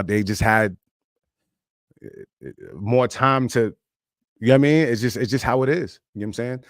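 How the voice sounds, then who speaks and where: A man's voice: lowish voice, no room sound, studio quality. A man in his thirties, an independent rap artist, talking casually and candidly on a live stream in a loose, rambling way, with constant "you know what I'm saying" asides. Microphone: streaming mic.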